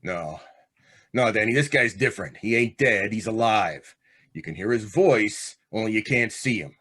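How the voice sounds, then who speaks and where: Radio drama: male actor as vengeful death-row convict, fairly low voice, slangy, tough, bossy, manipulative. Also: expressive, normal speed, fairly bright tone, slightly rough. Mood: instructing, menacing. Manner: tough, slangy, clipped commands. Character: hard, scheming condemned gangster, vengeful manipulative older brother. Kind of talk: old-time radio drama acting.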